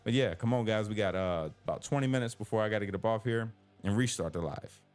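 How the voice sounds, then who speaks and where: A man's voice: lowish voice, fast, a little mellow, soft background music. A man hosting a live call-in stream, chatting casually, joking and teasing, reading chat comments aloud. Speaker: live show host. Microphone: headphones with computer mic.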